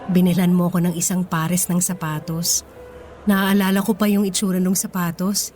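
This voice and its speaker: A woman's voice: fairly low pitch, little pitch movement, moderate pace, a little mellow, lightly acted. A woman acting in a Filipino radio drama as a forgiving, grateful older sister, speaking in an earnest, sentimental way. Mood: nostalgic. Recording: music behind the voice.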